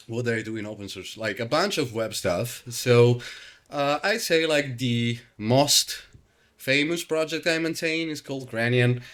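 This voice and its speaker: An Italian-accented man in his thirties hosts a relaxed podcast. He rambles easily through his thoughts, dropping "um" and "like" and restarting sentences as he goes. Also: medium pitch. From Italy. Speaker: podcast host. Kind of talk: casual chat.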